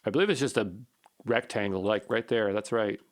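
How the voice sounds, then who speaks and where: A man's voice: fairly low pitch, brisk. Man thinking aloud while coding. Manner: muttering, repeats short phrases while puzzling through. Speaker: programming instructor. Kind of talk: unscripted screen-share coding walkthrough, thinking aloud.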